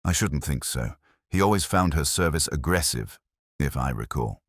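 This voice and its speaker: A man's voice: extremely low pitch, animated, moderate pace, slightly rough, no room sound, clean studio sound, heavily acted. A man voicing a fussy, evasive club official in an audiobook mystery, hesitant and a little dismissive.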